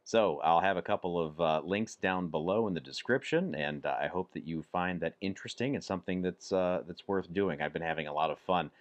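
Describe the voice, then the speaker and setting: A man hosting a hobby radio channel, giving an informal, measured, instructive explanation. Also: low-pitched, lo-fi sound. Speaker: host of a ham radio channel.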